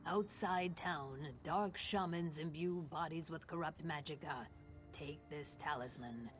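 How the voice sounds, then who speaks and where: Hissing, solemn lizard-folk mage over music. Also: male voice, on the high side, fairly bright tone. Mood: stern, urgent. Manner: solemn, deliberate, formal. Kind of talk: fantasy video game voice acting. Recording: game audio with music behind.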